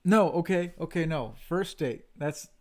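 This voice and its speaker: A man's voice: fairly high pitch, expressive, a little slow, a little mellow. A man co-hosting a casual livestream chat, telling a long story in a rambling, self-deprecating way. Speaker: stream co-host. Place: home livestream setup.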